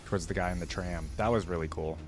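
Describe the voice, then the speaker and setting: A man with a fairly low voice hosts a reaction video. He comments casually and off the cuff in brief bits, with music from the video clearly audible behind him. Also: steady tone, quick pace. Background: music from the video he watches.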